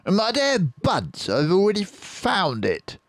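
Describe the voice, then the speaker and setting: A man's voice: sits high, wildly swooping pitch, slow pace, a bit bright, dry recording, studio quality, voice pushed up, heavily acted. A man voicing a crafty, mean, lean farmer in a storybook, curt and bossy as he schemes. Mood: scheming, bossy.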